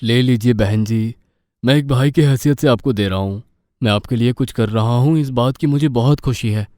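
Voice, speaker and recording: Guy playing a kind sympathetic gentleman in a hindi story, smooth voice, polite n solemn, dry recording. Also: medium pitch, moderate pace, dry recording, natural voice. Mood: gently insistent. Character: kind, sympathetic building owner offering help. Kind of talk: Hindi animated moral story dialogue.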